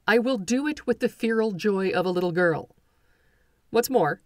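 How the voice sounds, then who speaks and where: A woman hosting a folklore podcast, reading a scripted introduction in a bright, eager way with playful asides. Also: medium pitch, no room sound, clean studio sound.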